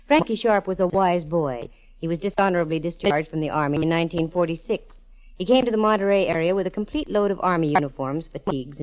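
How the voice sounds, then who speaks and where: A woman's voice: on the low side, a little mellow, close to an everyday voice, rough recording. An American actress playing a wry, wisecracking, self-assured female private eye, narrating in 1940s radio detective style. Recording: old radio recording, faint organ music.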